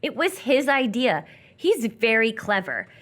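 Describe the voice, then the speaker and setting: A woman's voice: a little high, very animated, unhurried, a bit bright. An actress playing a lonely, guarded young woman with a dry, blunt, wounded way of talking in live radio theatre.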